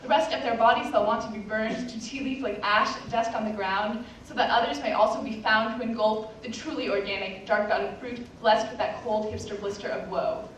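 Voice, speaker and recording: A woman's voice: a little high, fairly even intonation, moderate pace, few pauses. A teenage girl, fourteen, performs slam poetry as an amateur, with a theatrical, wry and emphatic delivery. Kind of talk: slam poetry recital before an audience. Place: indoor live poetry slam, roomy space. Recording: very echoey room, distant mic, background noise.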